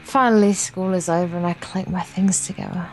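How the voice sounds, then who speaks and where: A woman's voice: lowish voice, steady tone, a little fast, rough recording. A young woman co-hosting a let's play, reading visual novel text aloud with playful, casual banter.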